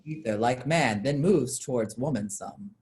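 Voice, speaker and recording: Medium-pitched reader of stage directions. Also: normal speed, a little mellow. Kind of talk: scripted narration in a play reading.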